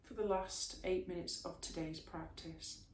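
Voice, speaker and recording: A woman teaching a yoga class, giving slow, soothing guidance through stretches and a guided relaxation. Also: fairly low pitch, steady tone. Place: room, recorded at a distance from the camera mic. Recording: echoey room, mic at a distance, faint hiss.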